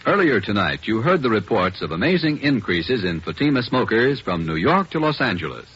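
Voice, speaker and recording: A husky American man announcing emphatically in 1940s radio style. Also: lowish voice, very animated, brisk, fairly bright tone. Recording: dull old radio recording, faint music.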